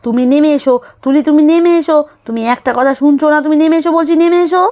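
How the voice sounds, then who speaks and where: A woman's voice: high voice, fast talker, mellow, close to an everyday voice. A woman voicing an anxious, caring young village mother in a children's doll-story cartoon, nagging with repeated instructions. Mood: scolding.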